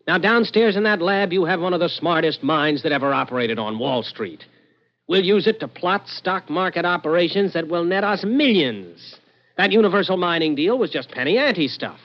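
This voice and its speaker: An actor playing a scheming, greedy crook who also narrates, persuasive and glib, in 1940s radio suspense style. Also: male voice, on the high side, expressive, normal speed. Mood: eager persuasion. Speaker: protagonist and narrator. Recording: dull old radio recording with music.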